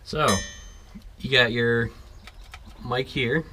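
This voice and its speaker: A man's voice: medium pitch, fairly even intonation, very slow. A man talking informally and thinking aloud as he works, in the style of a casual hands-on DIY vlog. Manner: loose, informal, thinking aloud while working. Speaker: vlogger restoring an old truck. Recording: clear background ambient noise.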